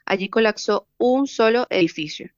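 A woman's voice: on the high side, moderate pace, no echo, dull audio. A woman with a Venezuelan accent, a journalist reporting steadily in a news interview. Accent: Venezuelan Spanish.

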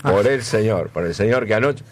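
A man's voice: fairly low pitch, expressive, brisk. A man with an Argentine accent hosting a radio show in casual chat, rambling with lots of fillers and repeated words. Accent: Argentine Spanish. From Buenos Aires, Argentina. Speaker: radio host.

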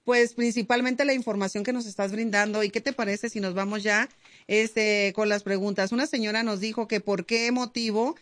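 A woman's voice: mid-range voice, steady tone, moderate pace, dry recording. A woman hosting a radio show in upbeat, chatty talk with hesitant fillers. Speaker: radio host. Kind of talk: casual radio chat. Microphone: studio mic.

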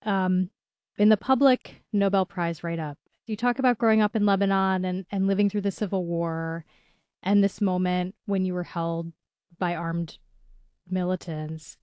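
A woman's voice: lowish voice, fairly even intonation, moderate pace, a little mellow, clear, resonant tone, no room sound, lo-fi sound. A woman hosting a radio interview, asking curious, probing questions. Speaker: radio show host.

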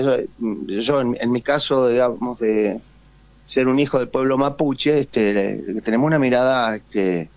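A man's voice: medium pitch, darkish tone, dry recording, highs cut off. A man with an Argentine accent, a veteran guest on a radio call, talking casually and hesitantly with many fillers and tag questions. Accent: Argentine Spanish. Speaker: guest, war veteran and writer. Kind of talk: casual phone interview.

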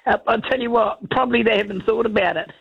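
A New woman rings in to talk radio, her voice croaky and gravelly with a breathy rasp. She chats in loose, repetitive restarts. The call comes through a dull, lo-fi phone line. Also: on the high side, fast. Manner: chatty, repeats herself with restarts. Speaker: caller. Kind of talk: casual radio call-in chat. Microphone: telephone.

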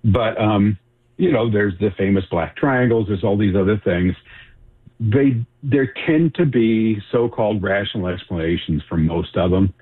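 A seventy-year-old man explains things casually as an expert radio guest. His voice is fairly low and raspy, heard through a narrow, phone-like connection. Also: unhurried. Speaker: expert guest, journalist and author. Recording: thin phone-like remote connection.

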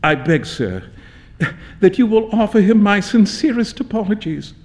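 A man voicing a naive, easily embarrassed young gentleman in a story reading, with a sulky, flustered manner. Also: high-pitched, animated, normal speed. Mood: flustered apology. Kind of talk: dramatic literary story reading.

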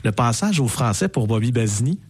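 A man's voice: medium pitch, big pitch swings, a little fast, darkish tone, husky. A male radio host with a Québécois French accent, asking questions in a casual, chatty way. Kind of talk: radio interview.

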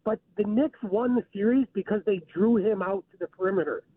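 Dude calling into sports radio, kinda rambly, uh-ing a lot, thin phone sound. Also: male voice, high-pitched, fairly quick, dry recording. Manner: rambling, hesitant, many fillers. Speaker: caller. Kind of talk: phone-in chat. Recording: tinny phone line.

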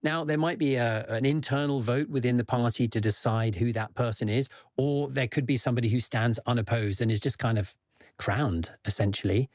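A man explaining politics on a news podcast in a measured, careful way with some fillers. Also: medium pitch, steady tone, darkish tone, no echo, studio quality. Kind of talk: explanatory conversation.

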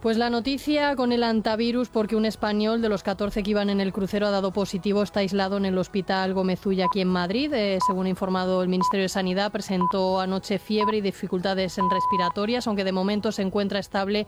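Spanish-accented woman correspondent, steady news read. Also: mid-range voice, fast, hardly any pauses. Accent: Castilian Spanish. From Spain. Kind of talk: news report read on air.

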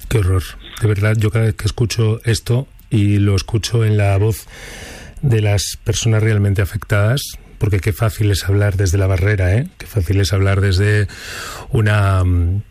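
Low-voiced Spanish man, earnest radio host. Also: flat delivery, moderate pace, dark tone. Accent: Spain Spanish. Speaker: radio interviewer. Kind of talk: conversational radio interview.

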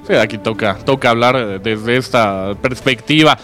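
A man's voice: medium pitch, normal speed, a bit bright, soft background music. A man co-hosting a casual sports panel, reading viewer messages and chatting.

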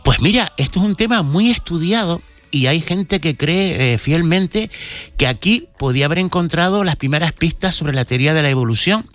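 A man's voice: on the high side, animated, normal speed, a bit bright. A man, a cultural commentator telling a local history story on a radio segment, with frequent restarts.

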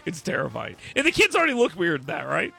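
Bright, gravelly man joking on a podcast. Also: on the high side, quick pace, soft background music. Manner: joking, blunt, animated. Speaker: podcast host. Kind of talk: casual comedic chat.

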